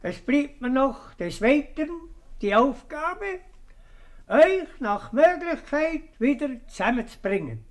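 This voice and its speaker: An actor playing a formal, long-winded magistrate with a Swiss German accent in a 1950s radio comedy. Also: male voice, extremely high pitch, big pitch swings, slow pace, exaggerated voice. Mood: officious. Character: ponderous, official magistrate and town councillor.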